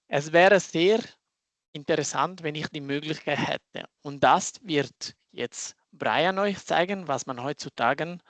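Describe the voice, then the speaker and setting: A man who is not a native German speaker, a social engineer walking through a live demo in halting German with grammar slips. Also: a little high, a bit bright, no echo. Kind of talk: live demo in an online talk.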